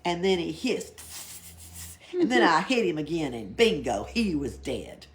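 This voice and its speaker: A woman's voice: lowish voice, big pitch swings, unhurried. A woman reading a storybook, voicing a boisterous, cheeky young girl who tells tall tales in dramatic exclamations. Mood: boastful, excited. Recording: background noise, library read-aloud.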